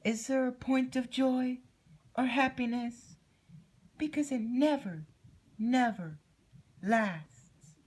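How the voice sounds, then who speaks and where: High, deranged cartoon pony. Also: female voice, slow, long pauses, darkish tone, slightly rough. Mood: bleak despair. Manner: disturbing, manic, scattered speech. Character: deranged, eerily cheerful female cartoon pony, grieving underneath.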